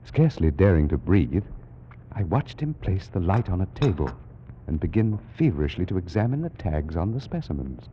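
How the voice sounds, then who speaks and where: Scholarly egyptologist telling a spooky old radio tale, muffled recording, really animated delivery. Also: male voice, sits low, normal speed, slight background noise. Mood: uneasy, suspenseful. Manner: formal, precise, dramatic storytelling. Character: scholarly male British Egyptologist recounting an eerie adventure. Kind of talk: 1940s radio drama narration.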